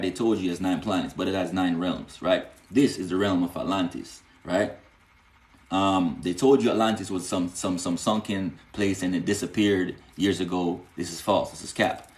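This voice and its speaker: Man, deep voice, casual stream preacher, keeps asking if you follow, roomy echo. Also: normal speed. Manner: repeats "you know what I'm saying" often, rising emphatic bursts. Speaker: spiritual teacher hosting a live stream. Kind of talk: casual live-stream teaching, answering viewer questions.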